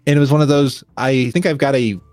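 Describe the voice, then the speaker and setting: A man's voice: medium pitch, fast talker, a little mellow, dry recording, soft background music. A man hosting a podcast interview, chatting enthusiastically about his studio mic gear. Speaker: podcast host. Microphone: studio dynamic mic.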